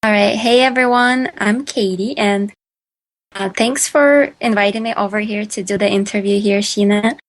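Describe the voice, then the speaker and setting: Ukrainian-accented woman, halting podcast guest. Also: medium pitch. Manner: hesitant, many fillers and restarts. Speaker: guest entrepreneur. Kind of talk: podcast interview answers.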